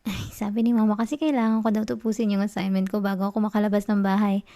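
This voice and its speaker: A young woman with a Filipino accent playing a clingy, tender, anxious student girlfriend in an intimate ASMR roleplay, speaking in a soft, coaxing, halting way. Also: mid-range voice, little pitch movement, no room sound, clean studio sound, lightly acted. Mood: sweet, slightly nervous.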